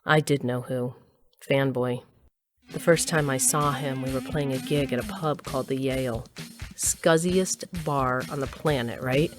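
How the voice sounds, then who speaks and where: A wry former rock musician narrating her own audio drama. Her voice is low, with a dry, confiding, reflective manner. Guitar music runs clearly behind her. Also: a little slow. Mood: wistful, confiding. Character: wry, wistful woman, ex-rocker recalling her youth.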